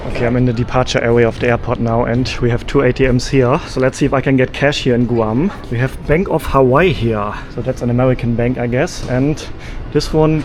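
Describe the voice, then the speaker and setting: A man from Germany narrates a travel vlog into a handheld camera, chatty and casual, mulling things over with lots of "uh" and "yeah". His voice sits at an ordinary middle pitch. Clear background noise of an airport and traffic runs behind him. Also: darkish tone. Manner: chatty, thinking aloud with fillers. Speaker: travel vlogger. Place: airport, then outdoors. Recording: noisy background.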